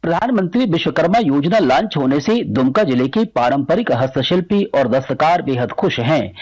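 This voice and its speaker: Indian guy radio correspondent, scripted report, husky voice, slightly high. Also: darkish tone, poor audio.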